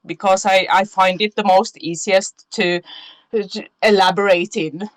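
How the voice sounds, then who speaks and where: Finnish-accented woman guest, slow and giggly, dry close sound, hunts for words. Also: medium pitch, steady tone, no room sound. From Finland. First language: Finnish-Swedish bilingual. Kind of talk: casual interview chat.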